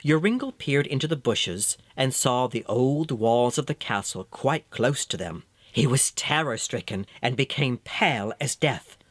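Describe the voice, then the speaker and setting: A man narrating a scripted fairy tale as an expressive, measured storyteller. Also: medium pitch, no echo.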